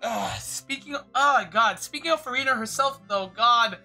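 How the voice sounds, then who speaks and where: A woman's voice: fairly high pitch, moderate pace, bright, forceful tone, soft background music. A woman livestreaming a game with casual, rambling commentary, often stammering and swearing, on a streaming microphone.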